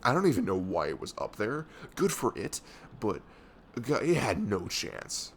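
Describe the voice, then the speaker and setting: A man's voice: on the low side, sing-song, moderate pace, gravelly, slight background noise. A man playing a young, affectionate, nerdy best friend in an intimate ASMR roleplay, rambling informally with hesitant, self-deprecating asides. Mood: disappointed, exasperated.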